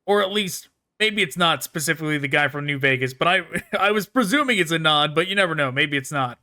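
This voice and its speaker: Bright, high-voiced man chatting over gameplay. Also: expressive, a little fast. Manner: rambling, musing. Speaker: video game streamer. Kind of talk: casual gameplay commentary.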